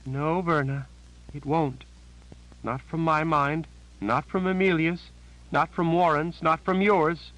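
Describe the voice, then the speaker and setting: A man's voice: a little high, steady tone, takes it slowly, a bit bright, pitched above the performer's own voice, low-quality recording. A man voicing a frightened, pained captive woman who speaks in a trembling whisper.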